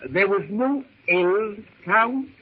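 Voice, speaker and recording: Fussy, high-voiced old professor. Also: male voice, takes it slowly. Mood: pedantic, earnest. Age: older. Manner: dithering, stammering, pompous formal diction. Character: fussy, bumbling, pedantic old professor and doting father. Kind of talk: 1930s radio serial acting. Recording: muffled, hissy 1930s radio recording.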